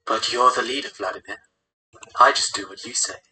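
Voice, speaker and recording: A man voicing a quiet, emotionless, dangerous mafioso in an audiobook, laconic and deferential. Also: medium pitch, fairly quick, slight background noise, rough recording. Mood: resigned. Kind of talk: audiobook character voice.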